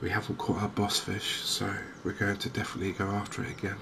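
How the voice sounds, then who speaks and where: A man gives gentle, softly spoken ASMR commentary on a game, chatty and unhurried with hesitant pauses. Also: fairly low pitch. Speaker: gaming video host. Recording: clear steady background noise and ambience.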